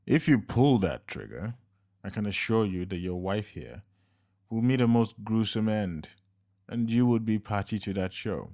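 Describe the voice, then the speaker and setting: A suave henchman with polished manners drawls each word slowly, played by a man. His courtesy is calm and smug, with a low voice and menace underneath. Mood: smooth. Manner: slow, measured, courteous and smug. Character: suave, menacing male henchman, coolly polite.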